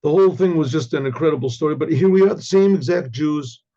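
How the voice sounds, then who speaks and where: An older hoarse man, a rabbi, giving a Torah class with halting restarts and frequent throat clearing. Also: a little high, mellow, dull audio.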